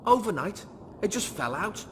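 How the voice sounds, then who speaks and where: A man's voice: high voice, sing-song, moderate pace, slight background noise, pitched above the performer's own voice. A man voicing a whiny, agitated young working-class slob, indignant and pleading. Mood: plaintive.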